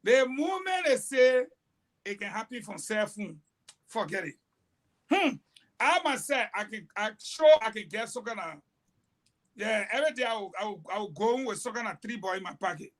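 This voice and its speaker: A man with a high-pitched voice argues on a talk panel. He tells stories in emphatic, broken sentences. His delivery is animated. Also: normal speed. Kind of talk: heated panel discussion.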